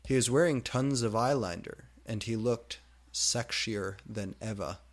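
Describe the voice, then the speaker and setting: A man reading fan fiction aloud with deliberate, theatrical, comedic narration. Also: fairly low pitch.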